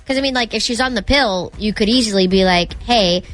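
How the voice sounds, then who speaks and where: A woman's voice: mid-range voice, brisk. A woman co-hosting a radio show, chatting casually and informally in a studio. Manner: conversational, informal. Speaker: radio co-host. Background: music.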